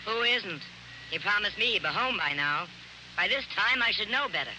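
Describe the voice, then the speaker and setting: A woman's voice: medium pitch, expressive, moderate pace, natural voice. An actress playing a wary, hard-bitten American woman, curt and defensive, in a 1940s radio drama. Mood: irritated, weary. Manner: curt, defensive, tough-talking. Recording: noisy old radio recording.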